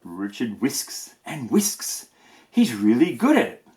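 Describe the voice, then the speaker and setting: A man's voice: mid-range voice, wildly swooping pitch, slow pace, darkish tone. A man reading a children's storybook aloud in a playful way, with chatty asides. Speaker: storybook reader. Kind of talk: animated children's read-aloud.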